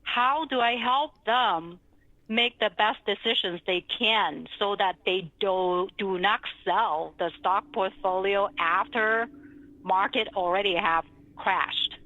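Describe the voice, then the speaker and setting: Bright-voiced Chinese-accented woman, dull recording. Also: mid-range voice, slow pace, no room sound. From China. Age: middle-aged. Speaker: financial advisor being interviewed. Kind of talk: conversational interview, telling her career story.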